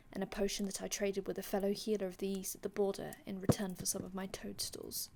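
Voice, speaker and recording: A woman's voice: mid-range voice, creaky, a little mellow, a little hiss or hum, natural voice. A woman playing a courteous, ancient vampire healer in an ASMR roleplay, speaking formally and reassuringly with archaic phrasing and small stammers. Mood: modest, caring. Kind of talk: ASMR roleplay, addressing the listener.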